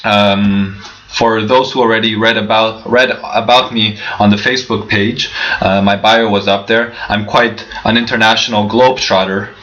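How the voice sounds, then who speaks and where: A man's voice: lowish voice, steady tone, normal speed, fairly bright tone, a little hiss or hum. A young man giving a prepared keynote speech, earnest, with rhetorical questions and frequent restarts. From Canada. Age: young adult. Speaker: keynote speaker. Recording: dull lo-fi livestream audio.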